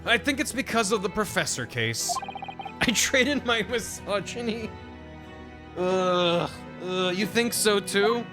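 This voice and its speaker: A man's voice: high-pitched, expressive, slow pace. A young man streaming a game, joking and rambling as he plays, over game music. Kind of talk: game let's-play commentary.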